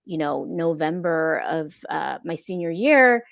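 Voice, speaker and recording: Gravelly, low-voiced middle-aged woman. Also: lively intonation, a little slow, no room sound, studio quality. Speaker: film impact producer on a panel. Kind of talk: casual panel discussion. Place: room at home, video call. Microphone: wired earphones on a video call.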